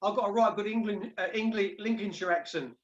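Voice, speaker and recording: A man's voice: high-pitched, fairly quick, echoey room, highs cut off. A man hosting a livestream, joking and swearing his way through stories on a group video call. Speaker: livestream host.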